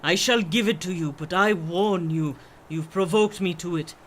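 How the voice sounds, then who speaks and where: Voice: medium pitch, normal speed, fairly bright tone, a little hiss or hum, lightly acted. An actor in a radio play playing a mysterious veiled swami who tells strange tales in a grave, formal, portentous manner. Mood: urgent warning.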